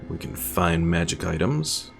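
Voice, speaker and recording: Deep, gravelly male game streamer. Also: very animated, unhurried. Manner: thinking aloud as he plays. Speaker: gaming streamer. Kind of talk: casual game playthrough commentary. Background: faint game music.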